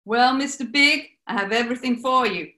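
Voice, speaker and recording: A woman's voice: on the high side, normal speed, pushed and bright. A woman playing a plucky, loyal bootlegger, earnest and firm, in a radio play. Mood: earnest, defiant. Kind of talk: 1940s-style radio play acting.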